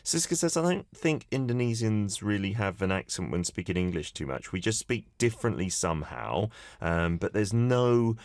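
Male co-host, curious and upbeat, low voice, animated pitch, dry sound. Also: moderate pace, few pauses, no echo. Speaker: podcast co-host. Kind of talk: casual chat about language.

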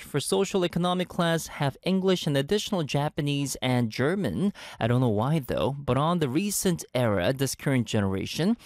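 Lively-voiced male radio host. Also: a little high, no room sound, clean studio sound. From Canada. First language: Korean. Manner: chatty, friendly, laughs easily. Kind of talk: radio show, reading listener messages.

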